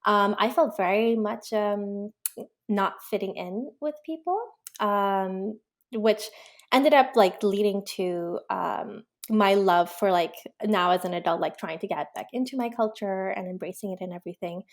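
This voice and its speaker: Relaxed woman guest, full of ums. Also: mid-range voice, unhurried. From Pakistan. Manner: relaxed, reflective, many ums and likes. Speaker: guest, children's book author and illustrator. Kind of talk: casual interview answers.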